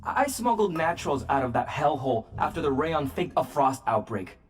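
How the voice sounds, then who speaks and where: An actor playing a bitter, haunted former smuggler in a video game cutscene, telling grim war stories. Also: male voice, on the high side, very animated, brisk, soft background music.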